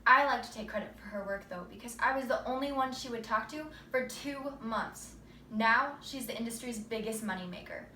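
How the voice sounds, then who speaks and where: Woman acting mercenary young recruiter, bright and echoing. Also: a little high, fairly quick. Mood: cold, matter-of-fact. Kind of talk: rehearsed readers theater monologue. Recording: roomy distant mic with background noise.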